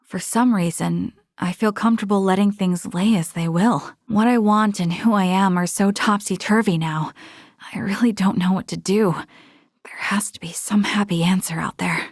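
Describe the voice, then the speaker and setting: A woman's voice: mid-range voice, dry recording, clean studio sound, close to an everyday voice. A woman playing an earnest young female military officer in a space video game, speaking formally and articulately with resolve. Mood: uneasy. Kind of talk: scripted video game character dialogue.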